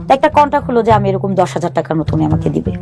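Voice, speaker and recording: A woman, telling her life story as a talk show guest in an earnest, halting way, often restarting her sentences and mixing in English words. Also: medium pitch, very animated, vocal fry, music in the background.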